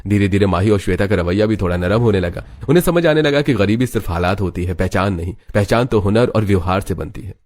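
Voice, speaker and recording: A man reading scripted narration for an animated family drama story as the storyteller. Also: fairly low pitch, fast talker, few pauses, soft background music.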